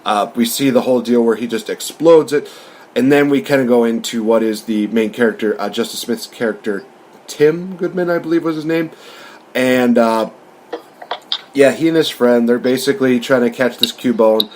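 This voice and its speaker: A man's voice: medium pitch, steady tone, moderate pace, darkish tone, a little hiss or hum. A man hosting a podcast, talking through a film review in an informal, chatty, rambling way. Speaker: podcast host.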